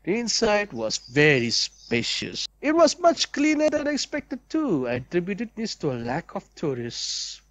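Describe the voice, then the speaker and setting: A young man reads visual novel narration aloud as a let's player, deliberate and storybook-like, with casual asides. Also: high-pitched, huge pitch swings, a bit bright, no echo.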